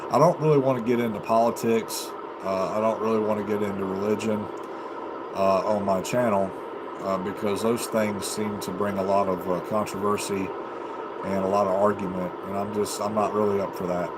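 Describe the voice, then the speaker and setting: An American man with a lowish voice rambles casually to his livestream viewers. He takes his time, pausing and restarting as he thinks aloud. Loud, steady noise runs behind his voice. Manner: halting, unhurried, thinking aloud. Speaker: amateur livestreamer and CB radio hobbyist. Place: home basement.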